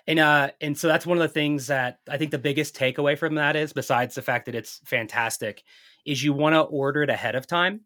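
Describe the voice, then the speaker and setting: A man's voice: a little high, steady tone, a little fast, fairly bright tone. A man speaking native English in a casual chat as a podcast guest, telling things in a rambling, storytelling way.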